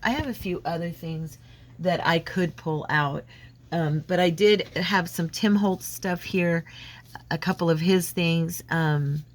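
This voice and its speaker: Woman with a head cold, chatty. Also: low-pitched. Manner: rambling, friendly, many fillers and restarts. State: slight head cold, a bit froggy. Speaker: crafting channel host. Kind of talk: casual chatty craft vlog. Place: home craft desk.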